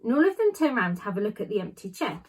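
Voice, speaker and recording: A woman reads a children's novel aloud in the manner of a class teacher. Her voice sits at an ordinary female pitch and has a slightly husky, unpolished edge. She leans on key words and leaves small dramatic pauses as she tells the story. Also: sing-song.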